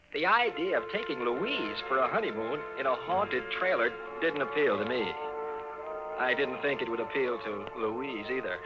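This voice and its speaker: An actor playing a fussy, exasperated trailer owner with an American accent and proper wording, in 1950s radio drama style. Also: male voice, mid-range voice, lightly acted. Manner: proper wording, indignant, put-upon. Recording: muffled old radio recording with music.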